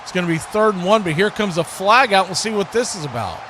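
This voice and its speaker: A man's voice: sits high, expressive, fast, a bit bright, slight background noise. A man hosting a live football stream, mixing play-by-play commentary with casual chat in folksy, colloquial phrasing.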